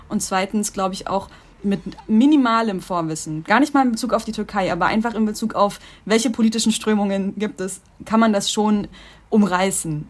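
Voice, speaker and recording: A woman in her twenties, an author speaking off the cuff in an interview. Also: medium pitch. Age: 20s. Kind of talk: spontaneous interview answers.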